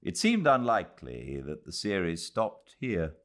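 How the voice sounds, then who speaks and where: A British man narrating a literary classic as an audiobook reader, with measured, dramatic phrasing and expressive delivery. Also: low-pitched. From Britain.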